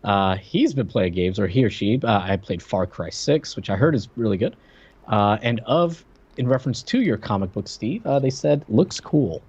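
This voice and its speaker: Lively low-voiced man, slightly dull audio. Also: moderate pace, husky. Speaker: podcast co-host. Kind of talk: casual livestream chat.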